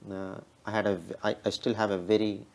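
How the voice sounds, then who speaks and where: Man, Indian accent, fast talker, deep-ish voice, keeps asking "right?". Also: level tone, a little mellow. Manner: ends many sentences with a questioning "right?"; fast talking. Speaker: guest. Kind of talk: conversational interview storytelling.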